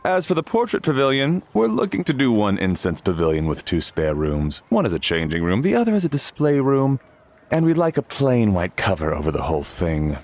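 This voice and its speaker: An actor playing a polite, fastidious manservant who speaks formally and with measured care in a game cutscene. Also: male voice, on the low side, huge pitch swings, darkish tone. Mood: exacting, businesslike. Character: courteous, fastidious male servant arranging his master's funeral. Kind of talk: animated game cutscene acting.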